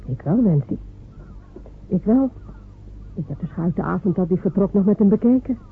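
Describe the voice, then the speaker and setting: A woman's voice: fairly low pitch, lively intonation, moderate pace. A woman playing proud, waiting wife Jemima. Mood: firm. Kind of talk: radio play acting. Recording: narrow phone-like radio recording with faint hiss.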